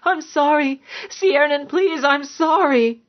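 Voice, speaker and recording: A woman's voice: medium pitch, steady tone, moderate pace, dull audio, close to an everyday voice. A woman voicing a meek, frightened woman who sounds timid, apologetic and pleading in a dramatised story. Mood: pleading, fearful. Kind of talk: dramatised fiction narration.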